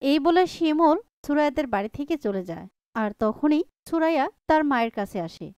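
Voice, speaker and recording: A woman's voice: sits high, expressive, a little fast, a little mellow, no room sound, studio quality. A woman narrating a scripted cartoon story.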